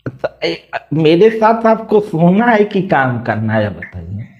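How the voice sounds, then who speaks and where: A man with a fairly high voice talks casually as a vlog host handling a phone call. He is probing and circles back over his questions. The sound is somewhat dull and lo-fi. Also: wildly swooping pitch, slow pace.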